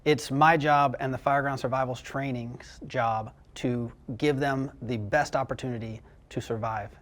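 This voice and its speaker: A man's voice: medium pitch, fairly even intonation, unhurried, a bit bright. A man working as a fire training instructor, explaining a live drill as it happens.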